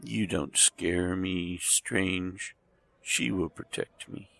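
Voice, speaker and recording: A smug, villainous doctor devoted to a demon in an audio drama. His voice is low and heavily creaky, with a husky rasp. He speaks slowly and pompously, with menace in every line. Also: fairly even intonation, sharp tone, heavily acted. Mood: defiant. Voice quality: gravelly, husky.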